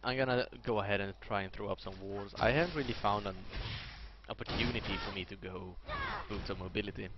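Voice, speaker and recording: A man's voice: lowish voice, a little fast, background noise. A man with a Swedish accent commentating casually on his own video game match, thinking aloud and correcting himself as he plays. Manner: thinking aloud, self-correcting, chatty asides. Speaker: gameplay commentator. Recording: lo-fi mic, loud game sound behind. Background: game sound effects and clicks.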